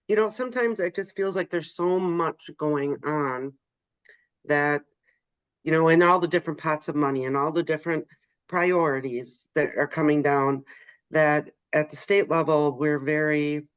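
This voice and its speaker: A woman's voice: sits low, dry recording. A middle-aged woman from a state agency, talking through her frustrations on a video call in a rambling way, full of "you know". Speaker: state agency staff member. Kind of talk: casual video call discussion.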